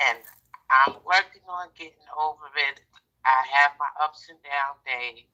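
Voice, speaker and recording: A woman's voice: very deep voice, a little slow, pushed and bright. A woman in her fifties from Harlem with a New York accent, calling in and talking in a subdued, confiding way. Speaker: caller. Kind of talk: phone-in conversation. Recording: tinny phone line.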